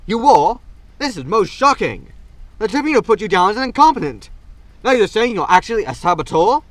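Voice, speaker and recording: A man's voice: high voice, sing-song, fairly quick, no echo. An actor playing a brash, smug male reporter in a sci-fi comedy audio drama, with an insistent, theatrical way of interviewing. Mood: eager, shocked.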